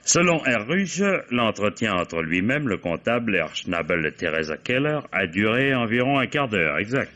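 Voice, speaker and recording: An actor playing a shrewd, mock-courteous, methodical German police commissioner interrogating suspects in a vintage French radio mystery. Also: male voice, lowish voice, sing-song, moderate pace, few pauses, bright, forceful tone. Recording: dull old radio-play recording.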